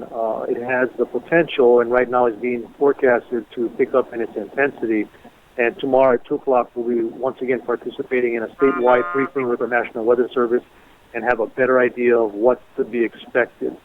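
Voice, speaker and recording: A man gives an official briefing in a deliberate, explanatory way. His pitch is mid-range and stays quite flat. The sound is thin and narrow, as heard on a conference phone line. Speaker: emergency management official. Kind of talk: press briefing on a conference call. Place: conference call. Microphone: telephone.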